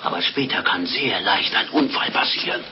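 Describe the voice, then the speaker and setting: An actor playing a blustering, impatient, scheming innkeeper in a 1950s German radio play. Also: male voice, deep voice, normal speed, lightly acted. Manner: blunt, sarcastic, excitable. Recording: muffled, noisy old radio play recording.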